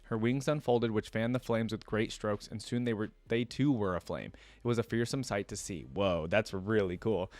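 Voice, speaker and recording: A man's voice: on the low side, normal speed, hardly any pauses, darkish tone, no echo. A man hosting a reaction video, reading a story aloud and commenting casually in slangy asides.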